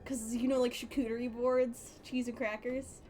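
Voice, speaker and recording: A woman game streamer with a slightly high voice. She chats casually and rambles in a loose, giggly, informal manner. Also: little pitch movement, moderate pace, warm tone, faint music behind. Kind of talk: casual livestream chat.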